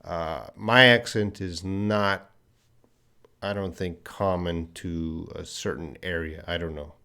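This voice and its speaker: A man's voice: low voice, fairly even intonation, slow pace, vocal fry, bright, forceful tone, clear, resonant tone. A middle-aged man with a light Canadian accent teaching English on a live stream, patiently answering viewers' questions and repeating words for learners.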